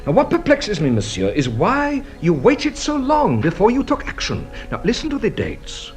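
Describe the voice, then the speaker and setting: An actor playing a shrewd, courteous French police inspector in a radio drama, questioning with theatrical politeness. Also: male voice, high-pitched, wildly swooping pitch, moderate pace, soft background music. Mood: probing.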